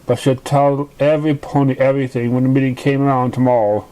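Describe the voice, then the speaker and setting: A man reading a story aloud as narration, halting and often stumbling over the words. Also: medium pitch, little pitch movement, mellow, rough recording. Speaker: amateur fan fiction reader.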